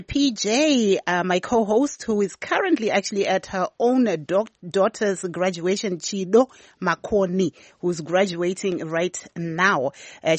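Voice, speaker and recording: A woman hosting a studio program, introducing guests and prompting them with questions. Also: on the low side. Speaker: radio program host.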